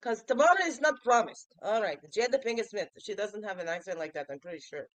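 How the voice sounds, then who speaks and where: A woman's voice: medium pitch, expressive, fast, no echo. A woman in her fifties, nasal and stuffy from a cold, hosting a daily reflection vlog in a playful, chatty way and asking the listener questions. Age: 50s. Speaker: host of a daily reflection show. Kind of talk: casual reflective vlog talk.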